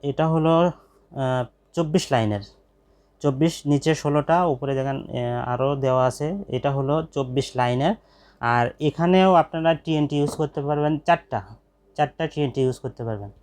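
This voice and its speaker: A man with a Bangladeshi accent gives an informal product demonstration to viewers, pausing hesitantly and repeating key phrases. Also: medium pitch, a little mellow, clean tone. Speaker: shop presenter selling office equipment.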